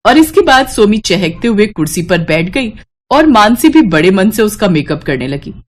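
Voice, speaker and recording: Woman doing scripted story narration, normal mid pitch. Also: very animated, normal speed.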